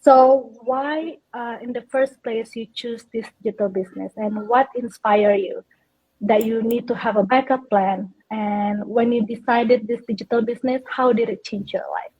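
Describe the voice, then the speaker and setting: A woman with a fairly high voice hosts a live online call, nervous and chatty, with plenty of filler words. The sound is dull and lo-fi, like a video call, and very dry. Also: normal speed, slightly rough, no room sound. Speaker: host.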